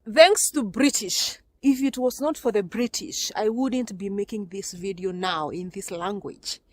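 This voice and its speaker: A woman's voice: medium pitch, measured pace, no echo. A Kenyan woman vlogging on her phone as she walks through a cold forest outdoors, chatty and laughing often. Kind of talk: casual walking vlog. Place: snowy forest outdoors in winter. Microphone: phone camera.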